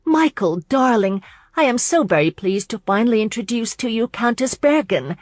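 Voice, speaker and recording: A woman's voice: on the low side, normal speed, no room sound, clean studio sound, lightly acted. A woman voicing a cheerful young noblewoman, effusive and beaming, in an audiobook romance. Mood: happy, eager. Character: cheerful, gushing young noblewoman, warm friend.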